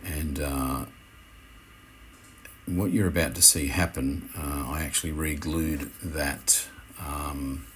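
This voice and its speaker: Male instructor, extremely deep register, unscripted tutorial voice-over with hesitant phrasing, some noise behind the voice. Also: steady tone, fairly bright tone. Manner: hesitant, halting, self-correcting. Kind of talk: unscripted how-to voice-over commentary.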